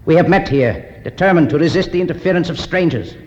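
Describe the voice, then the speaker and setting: An actor playing a solemn, commanding Egyptian cult leader in a 1950s radio drama, speaking in a formal, declamatory way. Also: male voice, fairly high pitch, expressive, fast, a little mellow, lightly acted. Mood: grave, imperious. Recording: muffled, noisy 1950s radio recording, reverberant.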